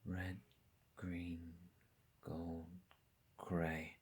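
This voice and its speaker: A man playing an anguished, brooding young man in a short film drama, muttering and halting as he talks. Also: deep voice, monotone, exaggerated voice. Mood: bleak, haunted. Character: anguished young man losing his colour vision. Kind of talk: short film drama acting, introspective monologue.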